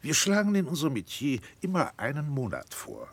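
Husky, pompous Victorian lawyer. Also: male voice, on the high side, wildly swooping pitch, heavily acted. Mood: self-righteous, unyielding. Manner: formal, pompous, hesitant, drawn-out phrasing. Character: stiff, courteous, evasive lawyer in Victorian London. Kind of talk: 1960s German radio drama acting.

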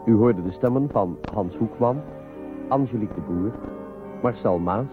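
Music plays clearly behind a male announcer on a narrow, phone-like recording. He reads scripted radio credits in a fairly low voice. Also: normal speed. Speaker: closing announcer. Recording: narrow-band audio over clear music.

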